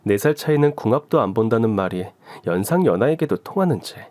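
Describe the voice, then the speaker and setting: A man narrating a woman's first-person story in an expressive storytelling style. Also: on the low side, a little fast, no room sound. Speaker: story reader. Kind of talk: storytelling radio drama narration.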